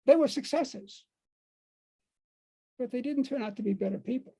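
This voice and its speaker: High-pitched, mellow older American man. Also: breathy edge. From United States. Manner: halting, repeats words and phrases for emphasis. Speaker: speaker on dream work. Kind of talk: informal lecture to a group.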